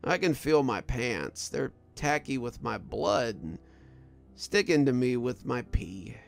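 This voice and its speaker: A man voicing a wounded, delirious prison guard sergeant in a horror story, speaking in a weary, halting, frightened way. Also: mid-range voice, animated, gravelly, faint music behind. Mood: dazed resignation. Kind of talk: first-person horror story narration.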